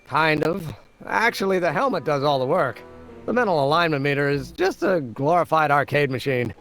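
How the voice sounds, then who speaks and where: A man's voice: on the high side, lively intonation, moderate pace, a bit bright, faint music behind. An actor playing an eager, earnest young male inventor who explains things in wordy, old-fashioned terms in a video game. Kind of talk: animated video game dialogue.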